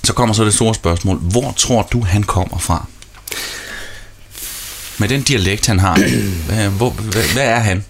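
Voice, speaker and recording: A man's voice: on the low side, a little slow, pauses often, slight background noise. A man hosting a casual studio chat, hesitant and often restarting his sentences. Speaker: radio host.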